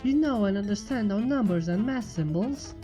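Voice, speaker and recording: A woman voicing a kind, encouraging pony schoolteacher with a gentle, instructive manner in a story reading. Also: mid-range voice, animated, moderate pace, soft background music, close to an everyday voice.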